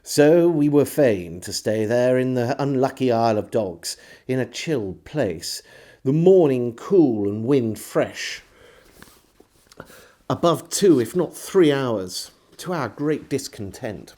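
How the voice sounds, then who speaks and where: A man's voice: mid-range voice, lively intonation, takes it slowly, dark tone. A man reading an old diary aloud as a storyteller, with theatrical, varied phrasing. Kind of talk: expressive reading of a 17th-century diary.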